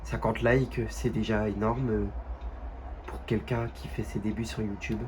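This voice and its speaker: A young man with a stuffy, nasal voice from a cold, chatting casually and haltingly over gameplay at home. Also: lowish voice, little pitch movement, darkish tone, a little hiss or hum. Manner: halting, many fillers and restarts. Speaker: gaming youtuber.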